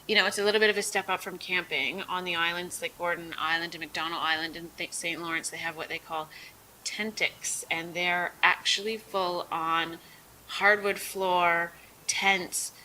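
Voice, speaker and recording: A woman sits on a talk show panel, sounding nasal and stuffy from a lingering cold. Her tone is bright and her pitch a little low, and she talks with eager, rambling enthusiasm, full of ums. Faint ambient noise lies behind her. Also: level tone, moderate pace, low-quality recording. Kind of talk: casual panel chat.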